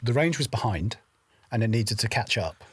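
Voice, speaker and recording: A man's voice: fairly low pitch, lively intonation, moderate pace, slightly rough. A man who works as a writer and script editor, reminiscing conversationally and quoting others as he tells anecdotes.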